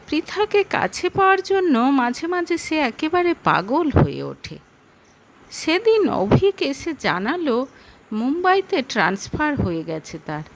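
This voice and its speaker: A woman narrating a scripted story in a dramatic, expressive manner. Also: sits high, a little hiss or hum, poor audio. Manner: expressive, acts out dialogue.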